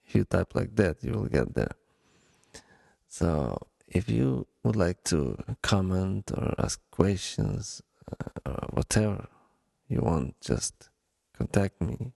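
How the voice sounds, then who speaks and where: A man with a Ukrainian accent hosting a solo podcast, speaking in a deliberate, halting way with pauses. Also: deep voice, sing-song, creaky, mellow, breathy edge, no room sound, studio quality. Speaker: podcast host.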